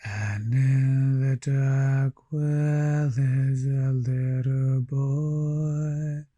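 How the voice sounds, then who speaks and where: An eerie teller of a sorrowful nursery tale. The voice is very dark and mellow, close and dry, drifting slowly through short, halting phrases in a lullaby-like style. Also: medium pitch, steady tone, no room sound. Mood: eerie, mournful. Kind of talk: slow lullaby-like dark storytelling.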